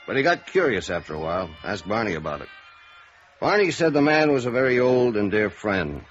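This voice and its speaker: A middle-aged American police sergeant of 1950s radio noir. He is low-voiced, terse and dry, with a guarded deadpan delivery. The vintage recording sounds dull, with faint music underneath. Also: fairly quick. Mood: businesslike, dry. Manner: clipped, deadpan.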